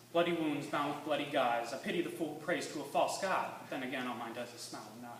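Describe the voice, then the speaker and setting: A teenage boy reciting slam poetry dramatically, intense and emphatic, moving between hushed lines and forceful ones. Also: fairly high pitch. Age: teens. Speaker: student slam poet. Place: live stage in a room with an audience. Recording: echoey room, distant mic, faint noise.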